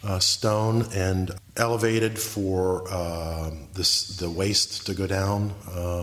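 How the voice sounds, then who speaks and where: A man in his thirties, a guest from an engineering firm, explaining in a measured way with lists of examples. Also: low voice, a bit bright. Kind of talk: interview answers.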